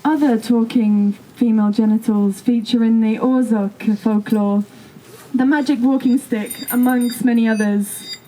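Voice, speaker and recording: A woman's voice: a little high, steady tone, a little slow, dark tone, a little hiss or hum, low-quality recording. A woman giving a live performance reading of stories and texts in a theatrical storytelling manner. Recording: music behind the voice. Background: music.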